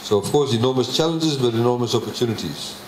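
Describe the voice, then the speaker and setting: A man, presenting a budget to a public meeting in a measured way, with many pauses and fillers. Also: mid-range voice, a little mellow, slight background noise. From Fiji. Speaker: government minister presenting a budget. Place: public consultation meeting hall. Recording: echoey hall, faint ambience.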